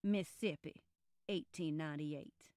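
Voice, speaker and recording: A woman reads old legal text in a formal, measured way. Her pace is slow and deliberate, and the sound is close and dry, with no room around it. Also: low-pitched, warm tone, dry recording. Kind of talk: solemn reading of historical legal text.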